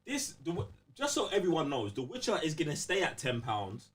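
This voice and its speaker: A man's voice: a little high, wildly swooping pitch, a little fast, far from the mic. A man with a London accent chatting casually as a podcast co-host, blunt, slangy and exclamatory. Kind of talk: casual gaming podcast banter.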